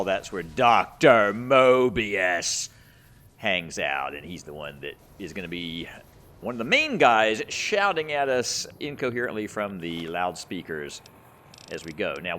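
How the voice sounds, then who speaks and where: A man's voice: fairly low pitch, a bit bright. A man giving casual gameplay commentary as a channel host, rambling and thinking aloud.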